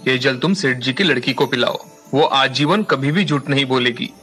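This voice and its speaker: A man voicing a kindly holy hermit, solemn and formal, in an animated bedtime fable. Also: medium pitch, normal speed, soft background music, natural voice. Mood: benevolent, solemn. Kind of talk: Hindi animated moral story dialogue.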